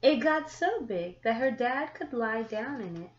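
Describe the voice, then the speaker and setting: A woman reading a children's picture book aloud, with deliberate, expressive phrasing and drawn-out words for young listeners. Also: a little high. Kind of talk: children's story read-aloud. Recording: echoey room, slightly muffled sound.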